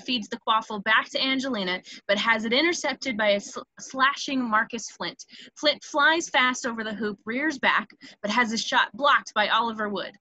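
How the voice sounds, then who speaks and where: Female stage-directions narrator: fairly high, bright, forceful scripted delivery. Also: slight background noise, low-quality recording.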